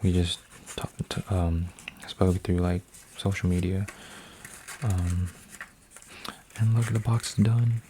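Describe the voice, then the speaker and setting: A man in his twenties, an ASMR creator, rambling softly with lots of fillers and restarts while folding paper, sometimes dropping to a whisper. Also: sits low, flat delivery, slow pace, a little hiss or hum. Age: 20s. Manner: meandering, full of fillers and restarts. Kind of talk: soft-spoken rambling ASMR.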